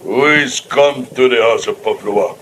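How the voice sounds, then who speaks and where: An actor playing a menacing old voodoo priest in a 1950s radio drama, slow and ominous. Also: male voice, medium pitch, a bit bright, lightly acted, rough recording. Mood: solemn. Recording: old radio broadcast with hiss.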